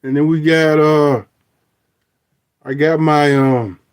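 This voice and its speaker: A man's voice: a little high, steady tone, takes it slowly, clear, resonant tone. A middle-aged man talking through his DNA results on a phone, rambling and joking with frequent restarts. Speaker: vlogger. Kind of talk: casual review of DNA results.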